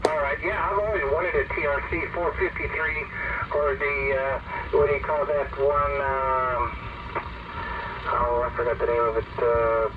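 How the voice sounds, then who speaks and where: A man's voice: medium pitch, flat delivery, unhurried. Man over a noisy CB radio. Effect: two-way radio transmission. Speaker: CB radio operator. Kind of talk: casual radio chat. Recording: noisy muffled CB radio speaker, distant.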